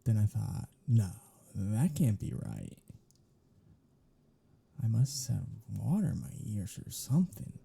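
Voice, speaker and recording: Man acting a teasing vampire, sardonic, flirtatious, gravelly fry, lively swooping pitch, ASMR audio drama. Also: slow, pauses often, exaggerated voice. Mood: dry, sardonic. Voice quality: husky, breathy, dark. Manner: sardonic, teasing drawl, often dropping to breathy murmurs. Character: teasing, flirtatious male vampire, sly free spirit.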